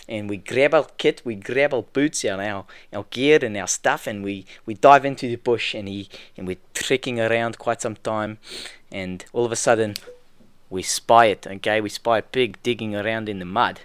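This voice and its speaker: A man voicing a comic fake prince, telling tales in a rambling deadpan way. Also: fairly low pitch, clear, resonant tone, no echo, natural voice. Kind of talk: comedy podcast bit.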